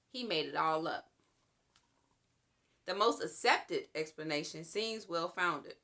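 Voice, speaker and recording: A woman's voice: on the low side, sharp tone. A woman reading a children's storybook aloud as a storytime reader and explaining what is in it.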